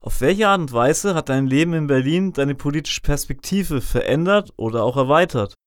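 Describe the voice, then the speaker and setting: A man conducting an interview, asking formal questions in a polite, measured way. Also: on the high side, lively intonation, no room sound, clean studio sound.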